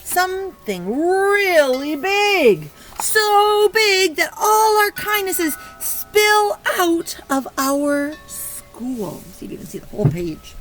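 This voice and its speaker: A woman teacher reading a picture book aloud to young children, stopping to ask questions and encourage them in an expressive way. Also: extremely high pitch, takes it slowly, hardly any pauses, faint music behind, low-quality recording. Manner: encouraging, praising child listeners; expressive, exclaiming and prompting. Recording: clear background noise.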